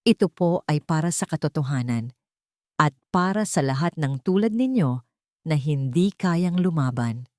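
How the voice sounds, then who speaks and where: Woman voicing a resolute, respectful daughter, low-pitched. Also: animated, normal speed, a little mellow, no echo, clean studio sound. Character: resolute, principled daughter, respectful to her mother.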